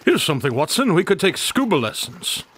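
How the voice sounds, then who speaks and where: A man's voice: on the high side, big pitch swings, brisk, fairly bright tone. A man acting as a boastful, inquisitive detective in a radio drama, talking in a dry, precise, wordy way. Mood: musing, playful.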